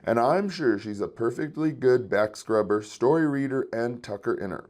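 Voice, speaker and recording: A man voicing a caring, practical mother bear who explains things calmly in a storybook. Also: mid-range voice, normal speed, natural voice. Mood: confident.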